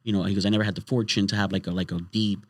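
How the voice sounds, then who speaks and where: A man's voice: lowish voice, level tone, racing, no room sound. A man hosting a casual podcast chat in a studio, rambling with many restarts. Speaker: podcast host.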